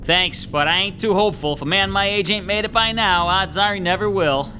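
A man's voice: high voice, fairly quick, bright, forceful tone, slight background noise. An actor playing a slangy, grumbling, middle-aged male New York lackey in an audio horror drama. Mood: griping.

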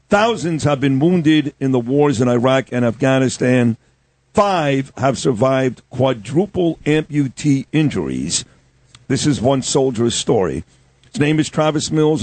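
A man's voice: medium pitch, a little mellow, no room sound. A man hosting a morning show, introducing a guest in a rambling, chatty way. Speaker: radio show host.

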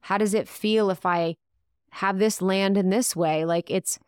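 A woman's voice: medium pitch, steady tone, unhurried, clear, resonant tone, no echo, clean studio sound. Chatty woman podcast host. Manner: thoughtful, chatty, lots of fillers. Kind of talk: casual podcast conversation.

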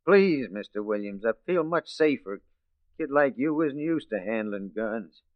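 An actor as shrewd American detective, muffled old radio. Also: male voice, medium pitch, expressive, dry recording, lightly acted. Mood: cool, sly. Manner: commanding, deliberate, theatrical. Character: shrewd police detective posing as a crooked forger. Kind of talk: 1940s radio acting. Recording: dull vintage 1940s radio recording.

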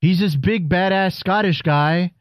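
A man's voice: high voice, unhurried, no echo, studio quality. A man co-hosting a casual wrestling chat, joking and rambling, swearing freely. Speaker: podcast co-host.

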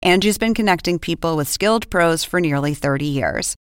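Female announcer for podcast spot: clean, resonant, slightly low voice, dry studio sound. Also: a little fast, smooth, no echo. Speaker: advertisement announcer. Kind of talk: scripted podcast ad read.

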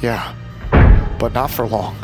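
An actress playing a practical, urgent crew member in a sci-fi audio drama, speaking tersely. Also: female voice, very deep voice, fairly even intonation, slow pace. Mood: grim, urgent. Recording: music under the voice.

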